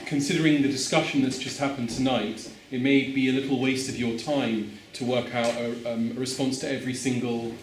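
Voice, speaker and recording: Measured man speaking in a roomy hall. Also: mid-range voice, fairly even intonation, a little hiss or hum. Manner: measured, stammering restarts. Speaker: meeting attendee. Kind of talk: public meeting discussion.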